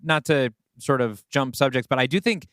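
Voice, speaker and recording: A man's voice: mid-range voice, normal speed, fairly bright tone, no echo, clean studio sound. A man co-hosting a podcast, loosely and tentatively thinking aloud in a casual writers' conversation. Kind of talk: casual story brainstorming.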